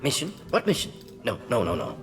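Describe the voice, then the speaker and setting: A twitchy alien scientist, mid-pitched, clipped broken phrases, faint music behind, video game. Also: huge pitch swings. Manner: clipped rapid fragments, drops articles. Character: fast-talking male alien scientist, twitchy.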